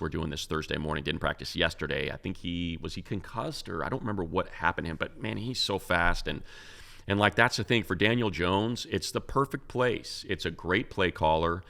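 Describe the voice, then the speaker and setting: A man co-hosting a casual sports talk show, breaking down a game in a rambling, animated way with many restarts. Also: sits low, moderate pace, creaky. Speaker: radio play-by-play announcer, co-host.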